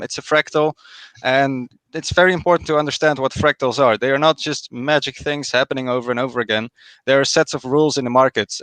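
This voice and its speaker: A man with a Dutch accent, a guest trader calmly explaining market charts on a live stream. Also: a little high, smooth, no room sound. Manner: measured, explanatory, confident.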